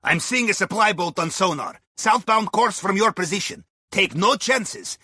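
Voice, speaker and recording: An actor playing a wry, courteous Russian submarine pilot, advising on missions in a video game with a Russian accent and clipped grammar. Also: male voice, sits high, expressive, moderate pace, bright, forceful tone, husky, dry recording, clean studio sound, full character voice. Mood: focused, advising. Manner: wry, deferential, clipped grammar with dropped articles. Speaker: mission handler on comms. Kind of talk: scripted video game dialogue.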